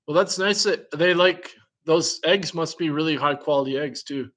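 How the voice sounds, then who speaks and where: A man's voice: on the high side, normal speed, fairly bright tone. A man in casual conversation who thinks aloud, asks curious questions and chuckles between remarks. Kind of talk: casual chat.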